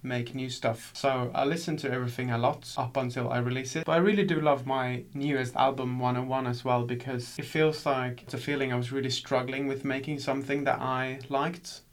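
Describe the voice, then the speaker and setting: A young man from Sweden, a musician guest in a casual interview, talking softly with lots of fillers and frequent light laughs. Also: mid-range voice, fairly even intonation, fairly quick, few pauses. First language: Swedish. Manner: soft-spoken, laughs often, many fillers.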